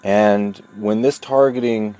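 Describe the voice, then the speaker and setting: A man from New York with a lowish voice talks straight into the camera. He speaks in slow, emphatic phrases, stopping between words to stress them, and presses his points earnestly by repeating them. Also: steady tone, warm tone. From New York, USA. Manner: deliberate, emphatic, with long pauses between phrases. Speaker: vlogger telling his own story. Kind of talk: personal monologue to camera.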